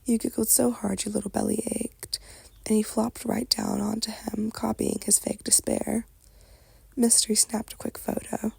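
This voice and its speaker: A woman softly narrating an ASMR story in a breathy, crackly whisper. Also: a little high, level tone, normal speed, dry recording.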